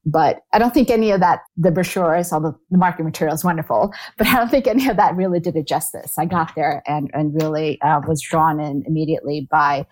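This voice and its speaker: A woman's voice: low-pitched, fast talker, hardly any pauses. A woman in her forties from Taiwan, answering interview questions in a reflective way, with fillers and restarts. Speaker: guest, alumna.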